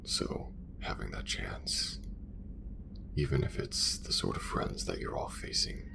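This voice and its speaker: A man voicing a calm, devout male alien assassin in an ASMR romance roleplay, whispering in slow, hushed, formal phrases. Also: extremely low pitch, pitched below the performer's own voice, full character voice. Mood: tender. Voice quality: gravelly whisper.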